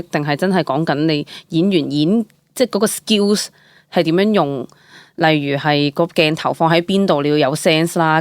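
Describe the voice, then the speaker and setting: Chatty low-voiced Hong Kong woman. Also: no echo. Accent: Hong Kong Cantonese. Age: young adult. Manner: chatty, expressive, mixes English words into Cantonese. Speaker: actor, interview guest. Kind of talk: casual interview storytelling.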